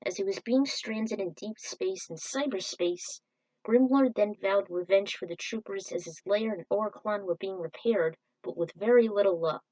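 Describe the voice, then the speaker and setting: A woman's voice: mid-range voice, normal speed, a little mellow. A woman reading and commenting on her fan fiction as an amateur podfic narrator, with a dramatic, opinionated manner. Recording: amateur recording, uneven mic distance.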